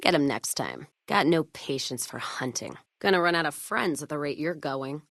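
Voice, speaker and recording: Hard-bitten frontier caravan woman, low pitch, American accent, sardonic folksy slang, foul-mouthed, dry studio sound, video game character. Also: animated, normal speed, a bit bright, dry recording, full character voice. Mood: irritated, menacing.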